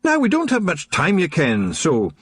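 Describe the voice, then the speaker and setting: A man voicing a small, wild Scottish fairy chief, a cheeky rogue with a hoarse, whispery voice and a boisterous manner. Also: on the high side, wildly swooping pitch, moderate pace, a bit bright, no echo, clean studio sound, voice pushed up, exaggerated voice. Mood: urgent.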